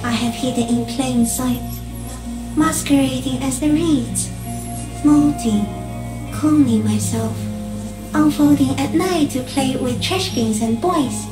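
A woman voicing an ancient, gentle, alien stick insect in a video game, speaking solemnly in measured, poetic, halting phrases. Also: high-pitched. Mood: quietly matter-of-fact. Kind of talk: dramatic video game dialogue. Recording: echoing voice over game music. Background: music.

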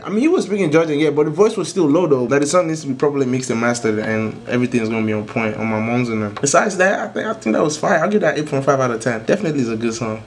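A man in his twenties with a medium-pitched voice hosts a reaction video from a room at home. His talk is casual and full of slang and swearing, and he likes to repeat his phrases. Faint music is in the recording. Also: rapid-fire, hardly any pauses. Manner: laid-back, slangy, profane, repeats himself.

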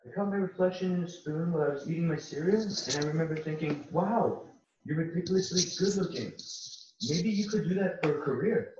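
A man's voice: a little high, a little fast, a little mellow, lightly acted. A man playing a vain, dim-witted male model, earnest and self-satisfied, in an amateur comedy read. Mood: earnest vanity. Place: home, on a group video call. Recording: echoey, muffled, noisy video call.

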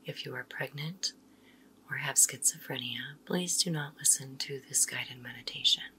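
Deep-voiced whispering woman meditation guide. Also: slight background noise, rough recording. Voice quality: soft whispery delivery. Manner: slow, soothing, gentle instructions.

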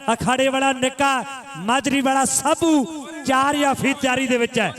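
Very high-pitched man commentating kabaddi. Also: a bit bright. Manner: repeats phrases for emphasis. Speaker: kabaddi match commentator and announcer. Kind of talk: live sports commentary with crowd-rousing announcements. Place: live village kabaddi tournament ground.

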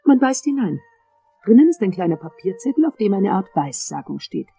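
An actress playing a composed, seductive dentist in a radio play, calm, soft and breathy. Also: female voice, medium pitch, fairly quick, soft background music. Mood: playful. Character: composed, seductive female dentist fascinated by teeth.

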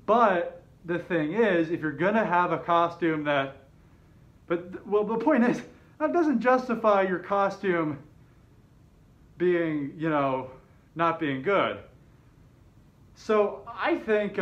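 A man telling a personal story in a casual, rambling way, hesitant and often correcting himself. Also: fairly high pitch. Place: open living room with kitchen at home. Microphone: camera mic a short way off, speaker inside a fursuit head. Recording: dull, slightly muffled home camera audio.

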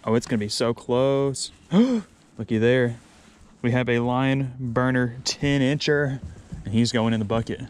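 A man in his thirties filming himself fishing from a small boat in a swamp, chatting excitedly, laughing and repeating himself as fish bite. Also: medium pitch, unhurried, clean tone. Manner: excitable, laughs often, repeats words when hooked up. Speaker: fishing vlogger. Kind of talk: excited outdoor fishing commentary. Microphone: action camera mounted on the boat.

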